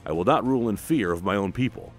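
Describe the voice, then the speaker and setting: A man voicing a proud, distrustful young king in a clipped, measured manner, for a comic-book retelling. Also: fairly low pitch, lively intonation, soft background music, close to an everyday voice. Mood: stern.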